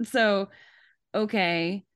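A woman's voice: medium pitch, steady tone, very slow, fairly bright tone, clean tone, dry recording, clean studio sound. A woman co-hosting a podcast in casual chat. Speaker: podcast co-host.

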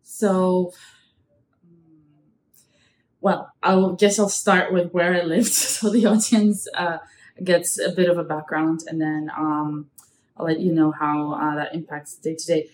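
Low-voiced young woman from Russia, echoey room. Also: flat delivery, slow pace, a little mellow. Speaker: podcast guest, marketing professional. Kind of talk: conversational interview answers. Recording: echoey room, faint background noise.

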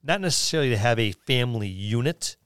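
A man's voice: medium pitch, animated, fast talker, fairly bright tone, smooth, no room sound. Man chatting casually, dry studio sound. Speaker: podcast co-host. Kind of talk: casual discussion of tabletop roleplaying.

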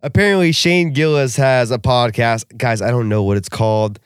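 A young man co-hosting a podcast, talking casually with animated, profane rants. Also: mid-range voice, normal speed, clear, resonant tone, no room sound, studio quality. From Russian family background. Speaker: podcast co-host.

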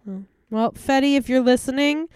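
A woman's voice: sits high, normal speed, darkish tone, clear, resonant tone, dry recording. A young woman co-hosting a podcast, playful and often laughing in casual chat. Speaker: podcast co-host.

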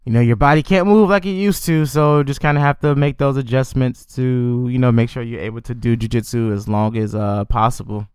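A man in his thirties hosting a podcast, telling stories in a relaxed, rambling way with lots of fillers. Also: medium pitch, fairly quick, few pauses, smooth, dry recording, studio quality. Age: 30s. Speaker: podcast host. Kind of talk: casual chat.

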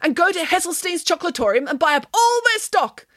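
A woman acts out a small, vain pig nanny from a children's storybook. She is bossy and indignant, exclaiming in a high, very animated voice, recorded close and dry. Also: brisk, no room sound, full character voice. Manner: imperious, exclaiming.

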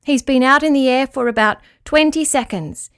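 A woman voicing a kind, gentle male four-legged animal who speaks earnestly. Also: sits high, normal speed, clean tone, dry recording, studio quality, pitched above the performer's own voice. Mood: reassuring.

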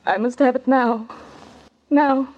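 A woman's voice: sits high, steady tone, takes it slowly. An actress playing an entranced, demanding noblewoman with insistent, dramatic 1940s radio acting. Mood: feverish, entranced. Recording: dull, hissy vintage radio recording.

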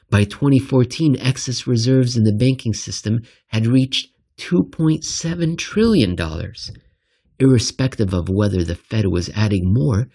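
A man reading a scripted financial essay aloud with emphatic, deliberate phrasing and a wry edge. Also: fairly low pitch, mellow, slightly rough.

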